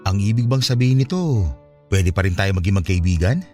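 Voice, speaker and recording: A man's voice: lowish voice, animated, brisk, darkish tone, faint music behind, close to an everyday voice. An actor playing a troubled, earnest young man in a radio romance drama, confiding sincerely with a Filipino accent. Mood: hopeful.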